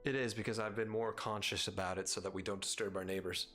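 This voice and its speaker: A man's voice: fairly low pitch, steady tone, quick pace, pushed and bright, soft background music. A man with an Arizona accent hosting a live stream, chatting casually with viewers in a playful, teasing, rambling way.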